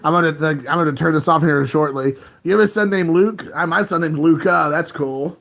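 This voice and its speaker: A man's voice: on the high side, fairly quick, creaky, fairly bright tone. A man in his late thirties hosting a casual home live stream from his phone, rambling and chuckling as he chats with viewers. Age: 30s. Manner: rambling, laid-back, chuckling often. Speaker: livestream host.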